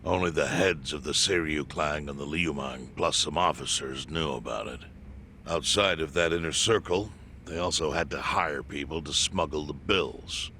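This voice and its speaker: An actor playing a stern old crime clan chief, telling history in a measured, formal way in a video game. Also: male voice, rumbling low, bright, forceful tone, slight background noise, heavily acted. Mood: grave, recounting. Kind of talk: video game cutscene voice acting.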